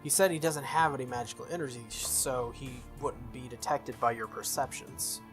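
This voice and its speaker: Man reading as a teenage schoolboy, mid-pitched, earnest, plain-spoken, visual novel dialogue, music underneath. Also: moderate pace, lightly acted. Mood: earnest, explaining. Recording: music behind the voice.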